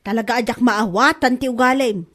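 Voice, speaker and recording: A woman playing a quarrelsome, gullible, indignant woman in a comedy audio drama, with an Ilocano accent and drawn-out exasperated delivery. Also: fairly high pitch, a bit bright. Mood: aggrieved, complaining. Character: quarrelsome, gullible woman accusing a bag seller of lying. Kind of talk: Ilocano comedy radio-style drama acting.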